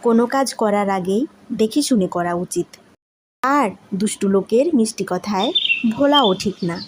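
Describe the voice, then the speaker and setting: A woman's voice: medium pitch, moderate pace, noisy background. A woman storyteller reading scripted moral tales for children.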